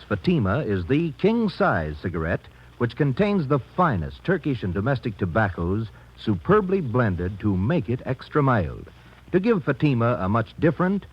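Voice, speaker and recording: Husky American announcer with animated delivery. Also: male voice, medium pitch, normal speed. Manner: emphatic salesman delivery. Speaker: advertising announcer. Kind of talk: 1950s radio cigarette commercial. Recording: dull vintage radio recording.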